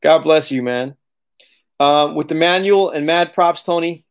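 A man's voice: fairly high pitch, unhurried, clean tone. A man in his late thirties hosting a casual livestream Q&A from his living room, talking loosely, laughing often and swearing now and then. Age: 30s. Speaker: auto body channel host. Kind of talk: casual livestream Q&A reading chat. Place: living room, seated on leather couch.

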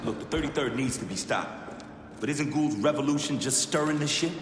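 An actor playing a blunt, sceptical American soldier with a challenging manner in a video game. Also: male voice, mid-range voice, a little fast, a little hiss or hum, close to an everyday voice. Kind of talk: dramatic video game acting. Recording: echoey long reverb, loud music behind.

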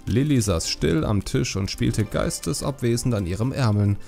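A man narrating an audiobook story in a measured, calm, solemn way. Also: mid-range voice, gravelly, soft background music.

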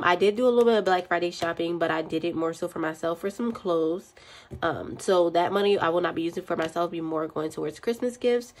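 Stuffy-voiced young woman, chatty vlogger. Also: fairly low pitch. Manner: chatty, rambling, counts aloud. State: stuffy from a cold. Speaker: budgeting channel host. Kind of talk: casual chat while counting cash. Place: indoors at a desk.

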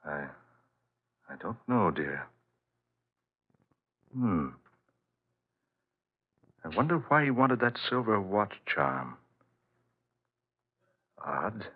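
A serious husband caught up in a mystery, speaking in a deep voice with deliberate drama. The style is forties radio horror. The old recording is somewhat muffled. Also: male voice, sing-song, breathy edge, exaggerated voice. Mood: uneasy, subdued. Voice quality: low-pitched voice. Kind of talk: 1940s radio horror acting.

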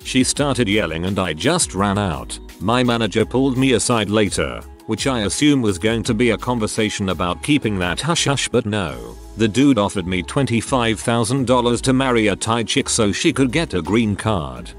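Lively low-voiced male story reader. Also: normal speed, few pauses. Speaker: narrator reading posts. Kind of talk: scripted narration of forum stories. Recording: narration over faint background music.

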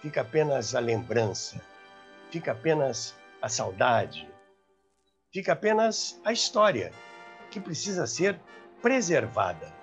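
A man's voice: medium pitch, big pitch swings, unhurried, soft background music. A man in his seventies with a Brazilian accent, hosting with theatrical, emphatic storytelling to camera. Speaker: host and storyteller. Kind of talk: animated anecdotal storytelling to camera. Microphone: clip-on lapel mic.

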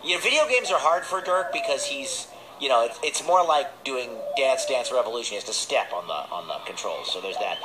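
A man's voice: medium pitch, lively intonation, a little fast. A man hosting children's radio, presenting and bantering in a casual live style. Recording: noisy recording off a speaker.

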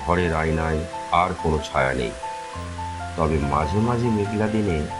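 A man telling a scripted horror story as a dramatic narrator, with measured, suspenseful delivery. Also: rumbling low. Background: music.

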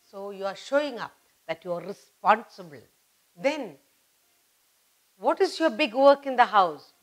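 A woman's voice: mid-range voice, expressive, a little slow, poor audio. A middle-aged woman with an Indian accent teaching young children, speaking emphatically in simple, repeated phrases and questions. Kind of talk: instructional lesson for young children. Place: indoor studio at a whiteboard.

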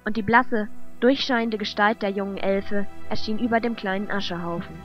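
A woman's voice: mid-range voice, fairly even intonation, moderate pace, dull audio. A woman narrating a fantasy audio drama in a scripted, dramatic storytelling style. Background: music.

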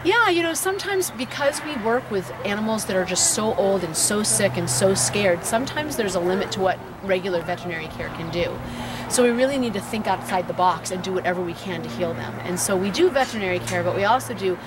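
A woman's voice: medium pitch, lively intonation, brisk, noisy background. A middle-aged woman with an American accent, explaining her animal sanctuary's work earnestly in an outdoor interview. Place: outdoors in dry hills.

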